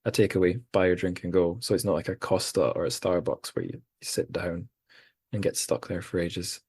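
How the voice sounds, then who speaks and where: A man with a very low, deep voice hosts a relaxed podcast conversation and wanders through amused anecdotes. His voice is dry and close, with no room sound around it. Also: brisk, slightly rough, no room sound. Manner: rambling, amused storytelling. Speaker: podcast host. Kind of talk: casual chat.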